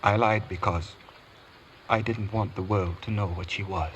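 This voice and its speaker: A man playing a broken, guilt-stricken valet confessing in a vintage radio courtroom drama, halting and anguished. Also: lowish voice, fairly even intonation, a bit bright, dull audio, close to an everyday voice. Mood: anguished, ashamed. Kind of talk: 1940s-50s radio courtroom drama acting. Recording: faintly noisy vintage radio recording.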